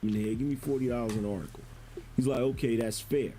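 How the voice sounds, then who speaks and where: Male online commentator, mellow mid-pitched voice, informal slangy storytelling, slight noise behind. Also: fairly even intonation, brisk. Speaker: internet commentator. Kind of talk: casual first-person storytelling, quoting conversations.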